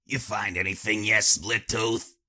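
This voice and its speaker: A man voicing a rugged, agitated female pony who leads a raider gang, barking orders in a rough, scratchy rasp for an audio story. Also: lowish voice, fairly even intonation, a little slow, bright, forceful tone, voice pushed up, exaggerated voice. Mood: gruff.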